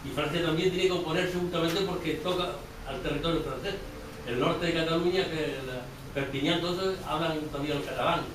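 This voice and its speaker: A man's voice: fairly high pitch, steady tone, brisk. A man asking an informal question from the audience at a public talk. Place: event room, far from mic. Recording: very echoey, distant mic, clear noise.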